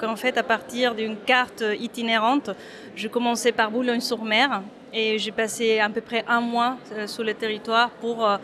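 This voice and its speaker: Femme mexicaine hésitante, voix assez aiguë. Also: female voice, a little high, a bit bright. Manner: hesitant, many fillers and restarts. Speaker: photographer. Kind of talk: interview at an exhibition opening.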